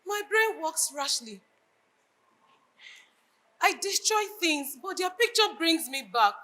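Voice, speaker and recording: A woman's voice: very high-pitched, big pitch swings, slow, bright, forceful tone, heavily acted. A woman acting a grieving, unhinged bereaved mother in a live stage drama, declamatory and anguished, with a Nigerian accent.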